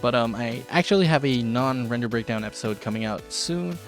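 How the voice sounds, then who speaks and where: A man with a mid-pitched voice narrates a casual software and drawing tutorial. He is chatty and informal, with a self-deprecating streak, talking like the host of an online art show. Music plays behind his voice. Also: expressive, brisk. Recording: background music under voice.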